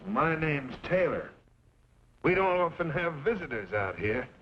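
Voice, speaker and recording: An actor playing a garrulous old rancher father in a western radio drama, folksy and rambling with quiet menace. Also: fairly high pitch, big pitch swings. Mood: nosy, folksy. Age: older. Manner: folksy, rambling, drawn-out emphasis. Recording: muffled, noisy old radio recording.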